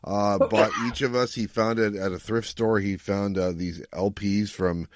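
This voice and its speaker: A man's voice: fairly low pitch, fairly even intonation, a little slow, no room sound, studio quality. A man hosting a podcast in casual chat, explaining things in a rambling, self-correcting way. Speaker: podcast host.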